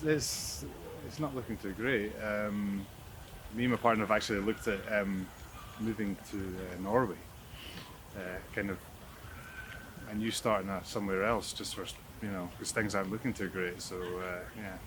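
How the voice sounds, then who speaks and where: Low-voiced, understated Scottish man, noisy surroundings. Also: fairly even intonation, normal speed. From Scotland. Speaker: interviewee, landscape photographer. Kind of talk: casual chat. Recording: noisy outdoor street recording.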